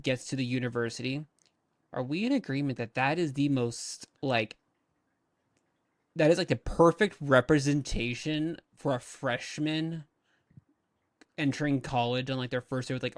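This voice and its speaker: A woman's voice: extremely low pitch, animated, moderate pace, no echo. A young woman co-hosting a casual podcast, teasing her friends and laughing often. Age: young adult. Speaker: podcast co-host. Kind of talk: casual chat.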